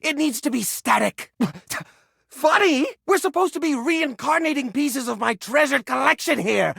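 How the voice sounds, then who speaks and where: A man's voice: very high-pitched, expressive, normal speed, gravelly, pushed and bright, no room sound, studio quality, exaggerated voice. A man voicing a neurotic, sentimental hoarder of a junk drawer in a video game, fussy and theatrical in manner. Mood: huffy. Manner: fussy, theatrical, swinging into outbursts. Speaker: voice actor in a dating sim game. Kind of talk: animated video game character acting.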